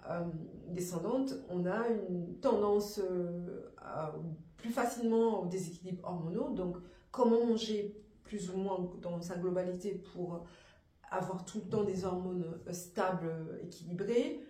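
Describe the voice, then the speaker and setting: A woman with a cold-roughened, hoarse voice, lowish and mellow, explaining an afro hair care course. She talks in a halting, thinking-aloud way, earnest and informal. The sound carries a roomy echo. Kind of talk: informal spoken course presentation.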